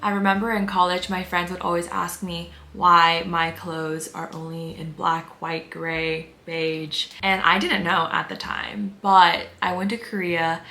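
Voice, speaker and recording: A woman's voice: fairly low pitch, level tone, unhurried, few pauses, sharp tone. Reflective young woman, echoey room. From United States. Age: 20s. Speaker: beauty vlogger. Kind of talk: get-ready-with-me voice-over.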